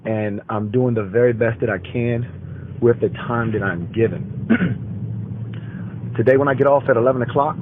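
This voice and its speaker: A man leaving a deliberate, formal voicemail with instructions, recorded over a phone line. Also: medium pitch. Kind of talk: voicemail message giving instructions. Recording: tinny, noisy phone voicemail.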